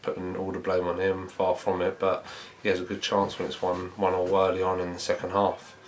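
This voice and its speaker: Football boss after a match, deep flat voice, lots of ums, faint hum behind. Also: male voice. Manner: measured, reflective, many fillers. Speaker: football manager. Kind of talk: post-match interview. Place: small indoor press room before a sponsor board.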